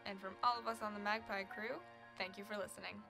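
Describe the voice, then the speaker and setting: A woman's voice: mid-range voice, fairly even intonation, fast, vocal fry, music in the background. A woman reading scripted credits as the announcer of an audio production. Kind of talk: scripted narration.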